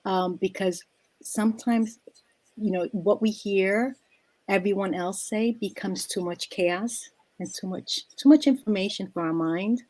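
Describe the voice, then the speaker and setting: A woman speaking as a panel guest, giving a reflective inspirational talk and pausing between phrases. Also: mid-range voice, a little mellow, dry recording, poor audio.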